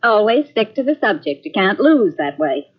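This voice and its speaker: An actress playing a brisk, sharp-tongued young woman who dodges questions, in 1940s radio acting style. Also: mid-range voice, very animated. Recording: dull lo-fi old radio tape.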